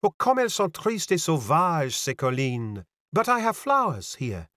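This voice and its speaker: Man putting on a high, mincing young Frenchwoman. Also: very animated, normal speed, a bit bright, no echo, studio quality. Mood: exuberant, dreamy. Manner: mincing, exclaiming, poetic. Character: spirited, dreamy young French lady, carefree and theatrical.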